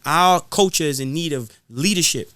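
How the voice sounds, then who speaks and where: A man's voice: on the high side, normal speed, bright, forceful tone, no echo. A man speaking in an impassioned, preachy podcast style, repeating phrases for emphasis. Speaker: guest rapper being interviewed.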